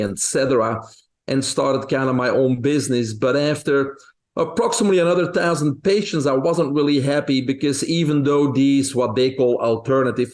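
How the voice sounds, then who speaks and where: A man's voice: mid-range voice, a little mellow. A man in his fifties with a Belgian accent, answering interview questions conversationally as a health coach and speaker. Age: 50s.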